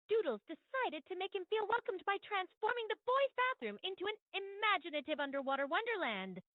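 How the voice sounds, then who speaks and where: A lo-fi recording of a high-voiced woman with a very lively, swooping delivery. She speaks formally and courteously, like a diplomatic school principal on the phone, full of effusive politeness. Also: no room sound. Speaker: AI prank caller posing as school principal. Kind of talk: polished, formal phone-call speech. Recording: dull lo-fi phone call playback.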